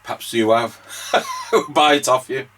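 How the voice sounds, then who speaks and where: A man's voice: medium pitch, moderate pace, a bit bright. A man giving casual demonstration commentary as a radio repair host and operator.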